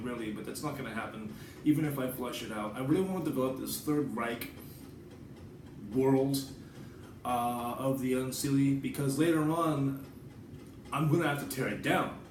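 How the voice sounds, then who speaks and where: An American man giving a casual, rambling vlog monologue, informal and digressive, with some swearing. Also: medium pitch. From United States. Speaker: writer and vlogger. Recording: roomy echo, distant mic, background noise.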